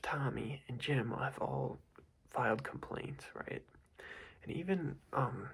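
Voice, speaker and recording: A man's voice: medium pitch, vocal fry, breathy edge, rough recording. A young man whispering an ASMR roleplay as a boss, scolding in a casual, rambling way with many fillers. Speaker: ASMR creator playing a boss.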